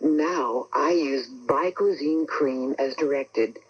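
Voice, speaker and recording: A man's voice: medium pitch, takes it slowly, husky. A man playing a relieved everyday customer in a 1970s radio commercial testimonial, on a dull AM broadcast. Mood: earnest, relieved. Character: relieved everyday customer giving an anti-itch cream testimonial.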